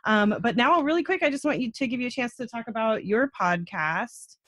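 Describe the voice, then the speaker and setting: A woman's voice: on the high side, animated, fairly quick, fairly bright tone, no echo. Effusive, chatty woman podcast host, dry sound. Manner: effusive, informal, sprinkles "like" and "super". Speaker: podcast host interviewing a guest. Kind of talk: casual, chatty interview.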